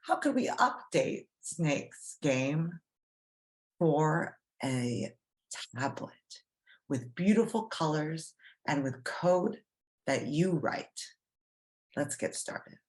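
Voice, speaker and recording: Low-voiced, slow-paced woman teaching children. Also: animated, a little mellow. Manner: simple explanations, asks the listeners questions. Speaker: coding teacher reading a story aloud. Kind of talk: children's read-aloud lesson.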